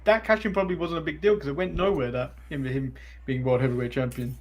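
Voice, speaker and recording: A man's voice: on the high side, steady tone, quick pace. A man from England, co-hosting a casual sports podcast and rambling a little as he repeats phrases. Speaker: podcast co-host.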